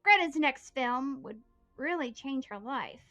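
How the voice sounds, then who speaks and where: A woman tells a history story from a prepared script, earnest and steady. Her voice sits high and sounds bright and sharp. Quiet music plays faintly behind her. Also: measured pace, muffled recording. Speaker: history podcast host.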